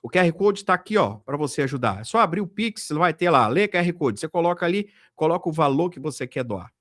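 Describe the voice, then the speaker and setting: A Brazilian man speaks in a fairly high voice, in a dry, close recording. He hosts informally, talking straight to his viewers and often checking in with short tag questions. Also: expressive, quick pace, fairly bright tone, no room sound. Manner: conversational, repetitive, direct address with frequent tag questions. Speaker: host of a political video channel. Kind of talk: informal commentary and donation appeal to viewers.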